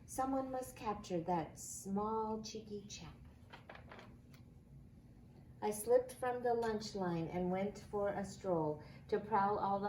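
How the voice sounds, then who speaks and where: Unhurried woman reading a children's storybook. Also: medium pitch, mellow. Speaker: school staff reading a picture book aloud. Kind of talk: expressive children's storybook read-aloud. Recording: echoey room, faint background noise.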